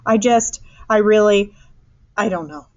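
A woman's voice: medium pitch, measured pace, no echo, muffled recording, pitched above the performer's own voice, close to an everyday voice. A woman storyteller voicing a worried, exasperated woman doctor, flustered but professional, in a storybook.

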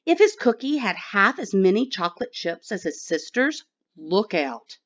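A woman's voice: medium pitch, sing-song, moderate pace, muffled recording. A woman reading a picture book aloud expressively for children. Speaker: children's story reader.

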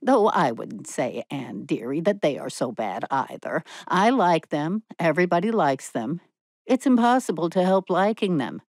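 A woman voicing an opinionated, sharp-tongued spinster who gossips with contempt and bitterness in a storybook reading. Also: sits low, sing-song, normal speed, no room sound, studio quality, exaggerated voice. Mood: indignant gossip.

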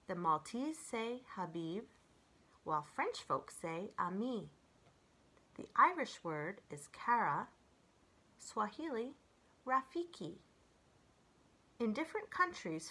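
A woman in her forties reading children's poems aloud and chatting between them in a gentle, expressive way, as a storyteller speaking to young children. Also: medium pitch, breathy edge. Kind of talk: read-aloud of children's poems with casual commentary. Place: small furnished room at home, indoors.

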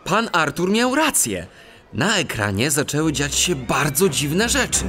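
An actor playing an excitable, polite male cat detective who tells his story with earnest expression in a children's audio drama. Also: fairly high pitch, unhurried, faint music behind, full character voice. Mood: earnest narrating.

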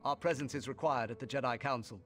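An actor playing a composed, dutiful Jedi knight and mentor in a video game, formal and authoritative. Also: male voice, medium pitch, fast, fairly bright tone, faint music behind, close to an everyday voice.

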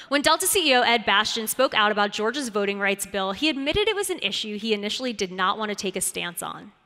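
A woman's voice: on the high side, bright, forceful tone. A woman in her thirties giving a polished, scripted keynote speech on a conference stage, stressing key points with lifts in her voice. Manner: clear, polished delivery with rising emphasis on key points. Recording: reverberant conference hall.

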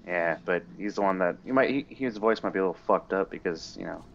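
A teenage man with a low voice co-hosts a gaming video with loose, teasing banter and plenty of swearing. The sound is slightly dull, with faint noise. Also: quick pace, vocal fry. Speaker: gaming channel co-host. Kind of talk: casual profane banter over gameplay. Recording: dull, faintly noisy home mic.

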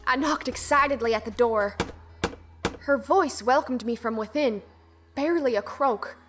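A woman's voice: a little high, normal speed, fairly bright tone, soft background music. A woman playing an earnest, dutiful young girl who tells her own fairy tale formally in an audio drama. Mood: earnest, reflective. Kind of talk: first-person storybook narration and acting in audio drama.

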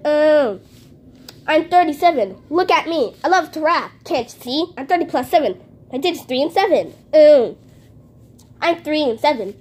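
A woman's voice: sits high, lively intonation, moderate pace, darkish tone. A woman doing a cheerful rapping number block. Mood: upbeat. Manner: sing-song chanting rhymes. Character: cheerful boastful male number block who raps. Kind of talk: playing with paper cutouts. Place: indoors at a home table. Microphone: handheld phone camera close to table.

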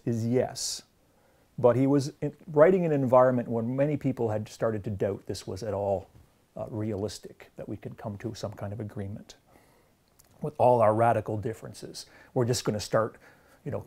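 A man's voice: mid-range voice, warm tone, husky. A Canadian man in his forties giving a thoughtful explanatory lecture to camera on a lapel mic, with fillers and restarts. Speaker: theology lecturer. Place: studio with green-screen backdrop.

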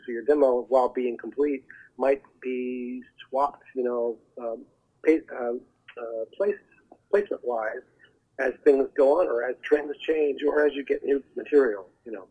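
A man giving explanatory advice as an interview guest over the telephone, haltingly searching for his words. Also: medium pitch, mellow, breathy edge. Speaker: guest, voiceover agent. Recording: muffled narrowband phone line.

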